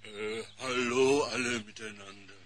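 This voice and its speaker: An actor playing a good-natured, gluttonous young bear in a children's cartoon audio play, hesitant and expressive. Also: male voice, mid-range voice, measured pace, crowd noise, close to an everyday voice. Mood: sheepish.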